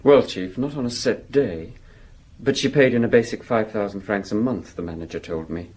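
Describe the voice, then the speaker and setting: Husky young junior policeman. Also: fairly low pitch, moderate pace, a little mellow. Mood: factual. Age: young adult. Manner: deferential, factual. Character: deferential young junior policeman reporting to superiors. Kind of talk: radio drama acting.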